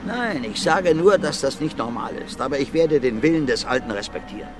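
An actor playing a gruff, blunt violent brawler in his fifties under questioning in a radio drama, plain-spoken and repetitive. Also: mid-range voice, expressive, fairly quick, music in the background, natural voice. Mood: defiant, stubborn. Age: 50s. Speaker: suspect being questioned.

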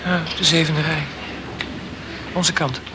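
A man's voice: a little high, fairly even intonation, takes it slowly, background noise. An actor playing a curious young male medical student in a radio play. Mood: curious, hushed.

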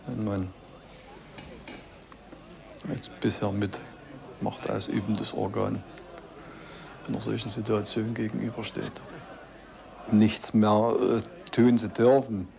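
A man from East Germany recalling events in an interview, halting and searching for words. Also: fairly low pitch, little pitch movement, vocal fry, noisy background. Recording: busy crowd murmur behind.

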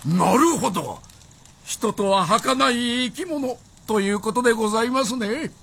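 A man's voice: extremely high pitch, animated, measured pace, creaky, fairly bright tone, breathy edge, exaggerated voice. A man playing a fawning, clownish samurai retainer with obsequious, theatrical speech in a Japanese period radio drama. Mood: eager, deferential.

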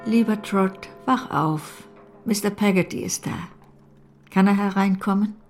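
A woman plays a kindly aunt in a radio drama. Her voice is medium in pitch, gentle and affectionate, with music playing faintly behind her. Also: a little slow, natural voice. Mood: gentle, caring. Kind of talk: German radio play acting.

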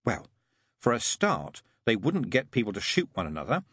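A man voicing a fussy, prim, gentle angel in an audiobook, speaking in precise, proper, earnest phrases. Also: mid-range voice, wildly swooping pitch, moderate pace, husky, no echo, clean studio sound, full character voice. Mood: insistent.

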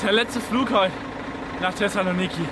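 An actor playing a hurried, apologetic young traveller, earnest and colloquial, in a German radio play. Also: male voice, high-pitched, fairly even intonation, natural voice. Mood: pressed, determined. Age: young adult. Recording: loud traffic noise around the voice. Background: street and vehicle noise.

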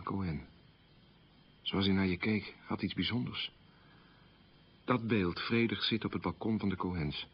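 Low, husky man looking back on his wartime childhood, measured radio monologue. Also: highs cut off, full character voice. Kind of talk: radio documentary-drama monologue, reminiscing.

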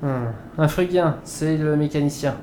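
A man's voice: fairly high pitch, unhurried, warm tone, slight background noise, close to an everyday voice. A man acting as a cautious spaceship investigator in a French science-fiction audio drama. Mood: focused, puzzled. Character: cautious male spaceship investigator, afraid of robots. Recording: echoey reverberant room, music behind. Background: music.

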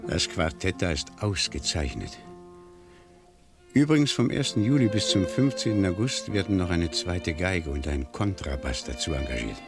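An actor in a 1970s German radio play, playing a worldly, middle-aged French war reporter with a dry, wry, emphatic delivery. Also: male voice, deep voice, lively intonation, normal speed, music in the background. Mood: wry, chatty.

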